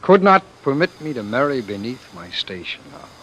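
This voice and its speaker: An actor playing a weary, defiant former world ruler in love, with halting, theatrical delivery in the style of old radio drama. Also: male voice, medium pitch, very animated, a bit bright. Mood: indignant, imperious. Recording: noisy vintage radio broadcast.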